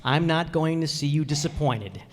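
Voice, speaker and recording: Man playing earnest gentleman, echoey hall. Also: a little high, lively intonation, normal speed, lightly acted. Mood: soothing, resolute. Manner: polite, earnest. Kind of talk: old-time radio comedy acting. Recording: echoey hall stage recording.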